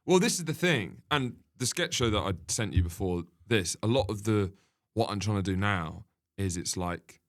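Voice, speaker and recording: A man with a lowish voice talks in a loose, conversational way. He comes across as a comedian guest, bantering drily and laughing easily. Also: expressive, normal speed, hardly any pauses, a bit bright. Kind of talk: casual conversational chat.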